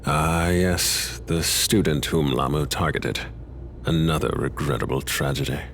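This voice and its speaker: An actor playing a stately older male leader who speaks in a measured, formal, explaining way in a game cutscene. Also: extremely low pitch, a little hiss or hum, full character voice. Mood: grave. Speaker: leader of an order. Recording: loud game music behind.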